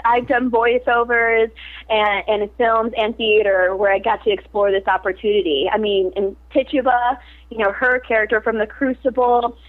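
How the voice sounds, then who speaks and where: A woman's voice: a little high, level tone, moderate pace. A woman actress in a casual interview, talking animatedly and laughing often. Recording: tinny phone-like line.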